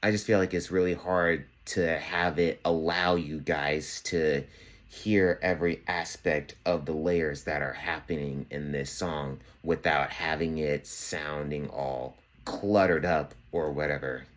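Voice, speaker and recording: A young man with a very low, bassy voice talks in a loose, relaxed way, leaning on fillers as he walks through his home studio setup. He sounds close to a phone mic in a small room. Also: a little slow. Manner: relaxed, chatty, many fillers. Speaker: home music producer. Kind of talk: casual tutorial walkthrough.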